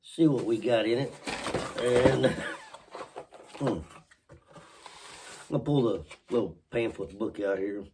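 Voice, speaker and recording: A man hosting a casual unboxing vlog while sick with a cold, hoarse, halting, often clearing his throat, with a Southern US accent. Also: medium pitch, background noise. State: sick with a cold, voice partly lost. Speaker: channel host.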